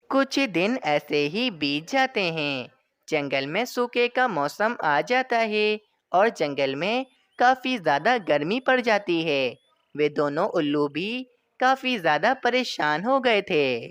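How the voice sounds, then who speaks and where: A woman's voice: lowish voice, fairly bright tone, clean tone, dry recording. A woman narrating a children's cartoon story.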